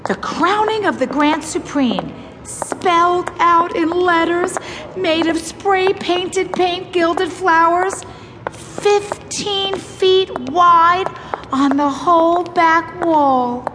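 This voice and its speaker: A woman's voice: very high voice, takes it slowly, background noise. An actress playing a pushy, gushing pageant mother who talks to her young daughter with breathless, exaggerated emphasis in an audio drama. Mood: awed, gushing.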